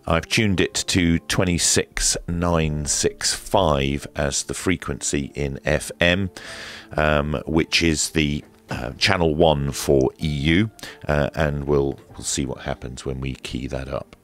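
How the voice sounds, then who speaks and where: Guy with a really deep voice doing a slow casual product walkthrough, step by step, bit hesitant. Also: fairly even intonation. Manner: hesitant, methodical step-by-step explaining. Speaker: radio reviewer and presenter. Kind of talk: casual hands-on product demonstration.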